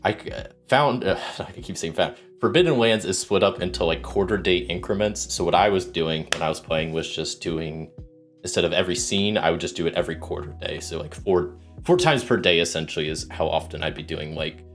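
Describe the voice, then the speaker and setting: Man, very low voice, sore throat, rambling casual stream talk, lots of ums, faint background music. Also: a little fast. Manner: rambling, many ums and restarts, greets chat viewers. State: sick with sore throat, starting to lose his voice. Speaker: solo RPG streamer. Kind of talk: casual livestream chat explaining game rules.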